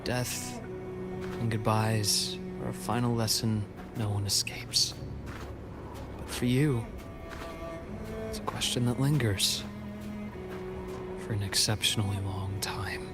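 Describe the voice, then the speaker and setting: An actor playing a calm, wise male comrade in video game story dialogue, speaking in a gentle, measured and reflective way. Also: on the low side. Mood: gently reassuring. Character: calm, wise male comrade, reassuring old friend of the hero. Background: game background music.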